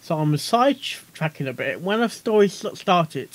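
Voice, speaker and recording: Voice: mid-range voice, very animated, normal speed, dry recording. A reaction video host giving casual commentary, rambling, giggly and often repeating phrases.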